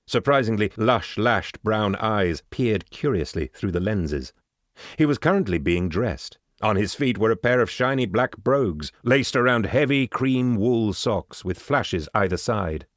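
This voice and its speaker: A man reading scripted literary prose as an actor, in a measured, descriptive storytelling manner. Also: fairly low pitch, no room sound, clean studio sound.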